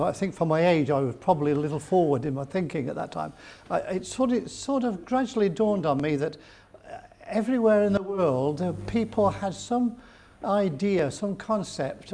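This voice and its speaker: Older man, gravelly voice, fairly high pitch; rambling, chuckling interview guest. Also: mellow. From England. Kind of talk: storytelling interview.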